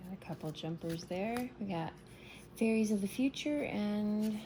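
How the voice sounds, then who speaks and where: A woman giving a casual morning tarot reading, soft-spoken and meandering, her voice still scratchy from waking up. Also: medium pitch, a little slow. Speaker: tarot reader.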